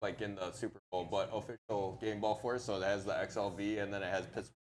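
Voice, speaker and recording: Excitable, sweary man, noisy recording. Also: fairly low pitch, fast. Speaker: live game commentator. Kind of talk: casual sports banter.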